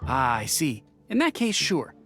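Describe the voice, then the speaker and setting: A low-voiced gracious innkeeper. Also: female voice, wildly swooping pitch, unhurried, faint music behind, heavily acted. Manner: gracious, with soft laughs. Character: mature female innkeeper, gracious and chatty.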